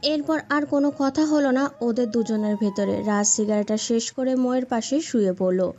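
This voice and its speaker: A woman with a fairly high, mellow voice narrates a scripted love story. The recording is slightly dull, with a faint music bed behind her.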